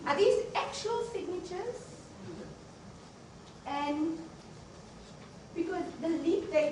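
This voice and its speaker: Woman speaking unmiked in echoey hall. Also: high voice. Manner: earnest, restarts phrases, emphatic questions. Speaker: university deputy vice chancellor opening a colloquium. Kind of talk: unscripted opening address. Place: lecture venue. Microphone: no microphone, speaking unamplified. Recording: echoey, distant room recording with noise.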